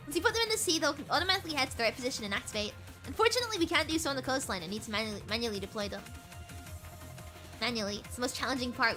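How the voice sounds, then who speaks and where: A woman streamer with a high, bouncy voice reads story dialogue aloud, rough and hoarse from a cold. She gets excited, stumbles over words, and gushes as she goes. Game music plays clearly underneath her.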